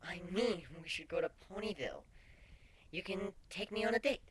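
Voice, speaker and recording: Shy, affectionate female changeling played by a man, storybook reading. Breathy and hushed, pitched up, slight background noise. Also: moderate pace. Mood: gently teasing. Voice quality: breathy, whispery.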